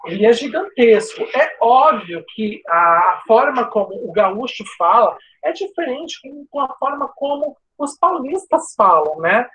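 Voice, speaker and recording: A woman's voice: on the high side, sing-song, measured pace, hardly any pauses, fairly bright tone, dull audio. Chatty woman teaching from São Paulo's coast. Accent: Brazilian Portuguese, coastal São Paulo. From São Paulo state coast, Brazil. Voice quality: sibilant S. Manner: informal, chatty, frequent "né?" tags and restarts. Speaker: Portuguese language teacher. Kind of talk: live online class.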